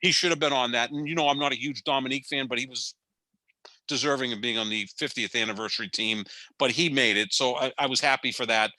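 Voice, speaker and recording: A man's voice: medium pitch, fast talker, sharp tone, no echo, poor audio. A man in his fifties co-hosting a sports podcast, giving opinionated, rambling takes in casual debate and often restarting his sentences. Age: 50s. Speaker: podcast co-host.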